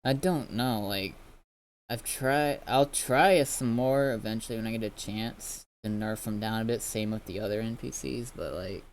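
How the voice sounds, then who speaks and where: A man's voice: fairly low pitch, normal speed, slight background noise. A man hosting a livestream, chatting casually in a rambling, informal way with some swearing. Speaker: livestream host. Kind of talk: casual gaming stream chat.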